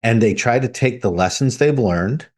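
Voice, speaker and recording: A man co-hosting a podcast, speaking in a measured, reflective way. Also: medium pitch, expressive, a little mellow. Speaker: podcast co-host. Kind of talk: casual discussion.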